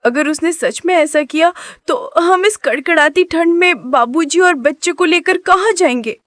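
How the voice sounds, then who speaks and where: A woman voicing an anxious, fearful poor wife in an animated story, speaking in a fretful way. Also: extremely high pitch, moderate pace, no room sound, studio quality.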